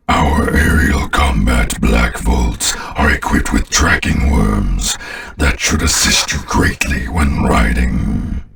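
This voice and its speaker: Monstrously deep, gravelly alien overlord. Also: male voice, fairly bright tone, distant mic, heavily acted. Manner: slow, imperious, menacing. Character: sinister alien overlord commander, arrogant, scornful of humans. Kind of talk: video game villain voice lines.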